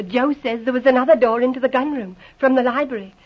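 A muffled vintage radio recording of an earnest, grieving young woman. Her voice is high and slightly rough, and she answers deferentially. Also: quick pace. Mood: earnest, insistent. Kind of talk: old-time radio drama acting.

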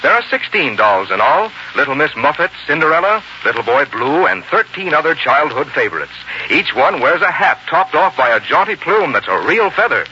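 A male announcer delivers a polished 1940s radio sales pitch. His very bright, forceful voice has a lively, swinging melody, heard through a dull old broadcast recording. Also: normal speed, few pauses, a little hiss or hum. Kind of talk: scripted 1940s radio commercial.

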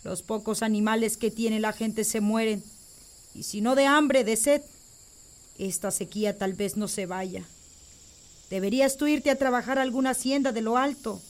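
An actress playing a young pregnant farm wife who speaks in a worried, insistent tone. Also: female voice, a little high, fairly even intonation, moderate pace, lightly acted. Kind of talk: radio drama acting.

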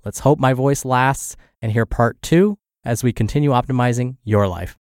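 A man's voice: medium pitch, moderate pace, darkish tone, no room sound. A man hosting a podcast and reading a health blog aloud, sounding congested and scratchy from the flu. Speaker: podcast host and narrator.

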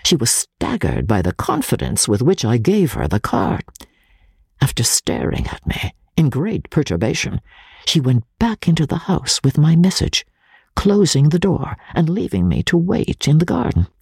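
Female literary narrator; deep, very raspy voice, theatrical delivery with sweeping intonation, dry close sound. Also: moderate pace, no echo. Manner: theatrical, expressive. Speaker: audiobook narrator, podcast host.

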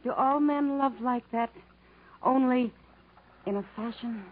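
A woman's voice: high voice, measured pace. An actress playing a wistful, wounded woman who yearns for self-respect, with plaintive, searching phrasing in 1950s radio drama style. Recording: muffled, noisy 1950s radio recording.

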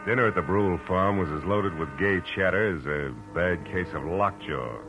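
Very deep, hard-boiled private eye. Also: male voice, normal speed, over loud music. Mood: moody narrating. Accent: American. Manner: clipped, wry, slangy delivery. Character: hard-boiled male private detective, tough and wry. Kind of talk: 1940s radio drama acting and narration.

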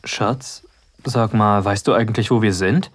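A man playing a calm, friendly young boyfriend on holiday, speaking in a relaxed, reassuring way in an audio drama. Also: fairly low pitch, a little slow. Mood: casual.

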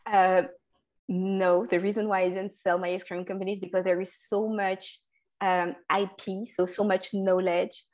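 A woman, talking as a guest in a casual interview, halting with many restarts and fillers and laughing often. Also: medium pitch, level tone, a little mellow. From France. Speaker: guest, food entrepreneur.

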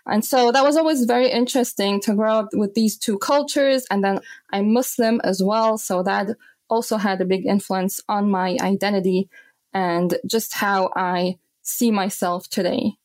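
A woman's voice: mid-range voice, fairly even intonation, moderate pace, a little mellow, no room sound. A woman, speaking as a guest in an interview. She answers in a thoughtful, reflective way and often says "you know". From Austria. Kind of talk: conversational interview.

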